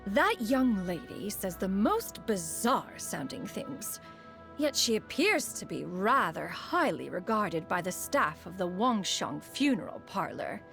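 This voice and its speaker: A woman's voice: mid-range voice, big pitch swings, measured pace, vocal fry, a bit bright, exaggerated voice. A woman voicing a proud, refined, aloof ancient immortal in a video game, speaking formally and loftily. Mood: curious, reserved. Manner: formal, haughty, calls herself "one". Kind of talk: scripted video game character voice lines. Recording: music behind the voice.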